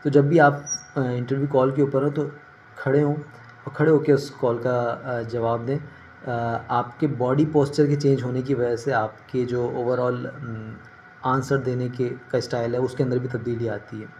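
A middle-aged man explains things informally to the camera at a medium pitch. He speaks in measured phrases with pauses, picked up by a phone in a small room with faint ambient noise behind him. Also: little pitch movement, a little mellow, dull audio. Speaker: career advice vlogger. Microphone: phone at arm's length. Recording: noticeable ambient background noise.

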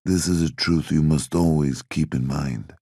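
A solemn old crime boss, as a narrator puts him on, slow and weighty in every word. His voice is low, dark and dry. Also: fairly even intonation, husky, no echo, heavily acted. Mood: grave. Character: aging male crime boss, grave and measured.

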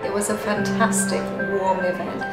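Middle-aged female project founder, promo interview, mid pitch, roomy, prominent mallet music behind. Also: flat delivery, moderate pace, a loud crowd. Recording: echoey, loud marimba music behind. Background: loud mallet-percussion music.

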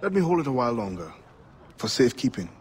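An actor playing a steadfast pirate turned assassin with a Caribbean accent in a video game, speaking formally and with composure. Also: male voice, medium pitch, big pitch swings, quick pace, close to an everyday voice. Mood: guarded. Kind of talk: dramatic video game acting.

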